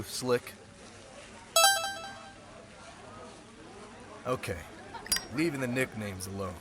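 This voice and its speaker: A man's voice: mid-range voice, natural voice. A man playing a sly, centuries-old thief turned security specialist, talking in a casual, teasing way in an audio roleplay drama. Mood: teasing, friendly. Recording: loud crowd chatter behind voice.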